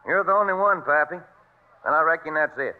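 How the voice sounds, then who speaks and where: An actor playing a plain-spoken, official American frontier sheriff in a vintage Western radio drama. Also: male voice, fairly high pitch, fairly quick, natural voice. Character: plain-spoken frontier sheriff keeping an unruly meeting in order. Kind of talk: 1950s Western radio acting. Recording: dull old radio recording.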